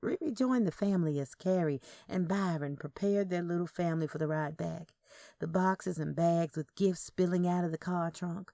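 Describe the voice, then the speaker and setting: A woman narrates a scripted first-person story. Her voice sits a little low and has a noticeable fry. The recording is dry, with no room sound. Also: normal speed, dark tone, no room sound. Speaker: audio fiction narrator.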